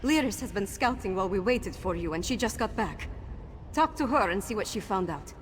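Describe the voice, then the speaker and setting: An actress playing a troubled, dutiful young princess in a video game, speaking in an earnest, measured, conflicted way. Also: female voice, mid-range voice, lively intonation, a little hiss or hum, natural voice. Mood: uneasy. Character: troubled young princess, betrayed by her royal father, dutiful. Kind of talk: video game quest dialogue.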